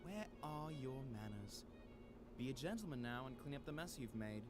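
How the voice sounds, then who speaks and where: A man plays an eccentric gentleman teacher from a visual novel. He speaks in a genteel, fussy way with a lively, swooping range, over prominent music. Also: mid-range voice, moderate pace, close to an everyday voice. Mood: firm, chiding. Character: eccentric, gentlemanly male teacher doting on his cat.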